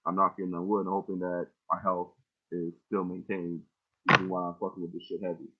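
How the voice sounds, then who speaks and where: Young male rapper, deep voice, loose and profane, explaining his lyrics over thin phone-quality sound. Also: flat delivery, brisk. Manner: loose, rambling, heavy casual profanity. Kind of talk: casual line-by-line lyric breakdown. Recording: tinny phone-like audio.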